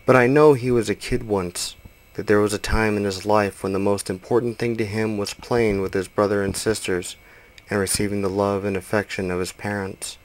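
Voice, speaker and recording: A man's voice: lowish voice, steady tone, moderate pace, poor audio. A man reading scripted narration as a voice-over narrator and reporter.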